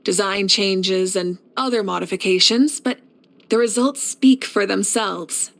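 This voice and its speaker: A steadfast warrior leader of a fantasy game nation. Her delivery is measured and composed, with a mid-range pitch. She sounds assured and reflective, caring for those she leads. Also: gravelly. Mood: calm, assured. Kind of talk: scripted video game character voice lines.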